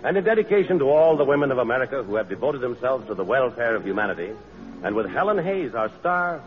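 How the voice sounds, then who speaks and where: A man's voice: mid-range voice, very animated, quick pace, dull audio, soft background music. A man announcing in a scripted, emphatic old-time radio style on a vintage broadcast recording. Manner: declamatory, emphatic delivery. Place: auditorium stage.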